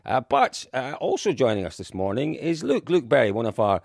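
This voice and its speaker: A man's voice: medium pitch, huge pitch swings, a little fast, creaky, a little mellow, no room sound, studio quality. A Scottish man from Dundee with a genial, chatty manner, hosting a podcast. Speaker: podcast host. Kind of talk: casual chat.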